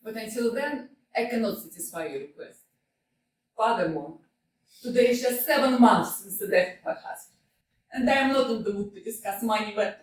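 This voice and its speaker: An actress playing a prim widow in mourning, formal and clipped in a staged reading. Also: female voice, on the high side, normal speed, natural voice. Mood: cool. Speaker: actor in a community staged reading. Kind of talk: staged play reading, theatrical. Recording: echoey theater from far off, faint hiss.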